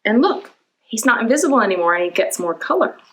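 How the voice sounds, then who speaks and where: A woman's voice: a little high, very animated, fast talker, a little hiss or hum, low-quality recording. Woman leading a children's storytime. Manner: asks the listeners questions and answers them, simple explanatory phrasing. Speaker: children's librarian hosting storytime. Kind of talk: children's storytime read-aloud and talk to young viewers.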